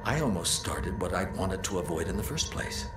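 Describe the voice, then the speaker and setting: Idealistic young-program diarist for a video game, earnest and animated, echo over music. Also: male voice, low-pitched, moderate pace. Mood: reflective. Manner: earnest, reflective, dramatic. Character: earnest, ambitious male computer program, idealistic. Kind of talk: video game audio diary monologue. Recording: echoey, with music behind.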